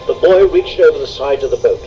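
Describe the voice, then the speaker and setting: A man's voice: mid-range voice, animated, fast, dark tone, lo-fi sound. A man narrating a scripted children's read-along story. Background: prominent music.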